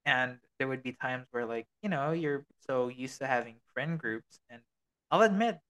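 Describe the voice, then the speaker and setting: A man's voice: medium pitch, animated, moderate pace, fairly bright tone, smooth. A man in his early twenties chatting informally as a podcast co-host, with lots of filler phrases and loose, casual wording. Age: early 20s. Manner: informal, swears casually, peppers talk with "like" and "you know". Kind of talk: casual chat.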